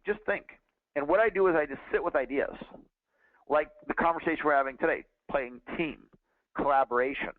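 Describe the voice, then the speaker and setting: A man's voice: fairly high pitch, no echo. A man in his forties, a sales trainer, talks earnestly and conversationally, often restarting his sentences. His voice comes through a narrow, tinny phone line. The sound is dry, with no room around it. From California. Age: 40s. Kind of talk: motivational teaching call.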